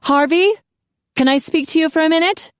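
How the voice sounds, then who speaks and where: A woman's voice: medium pitch, lively intonation, unhurried, warm tone, dry recording, studio quality. A woman voicing a young female police officer who speaks firmly and urgently. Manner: firm, urgent, commanding.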